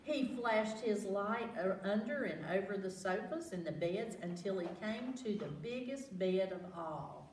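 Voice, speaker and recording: Female children's storytime reader, very expressive and unhurried, engaging listeners, recorded at a distance in a roomy space. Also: medium pitch. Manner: animated, asks listeners questions, exclaims. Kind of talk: read-aloud picture-book storytelling to children. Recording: roomy, distant mic, faint background noise.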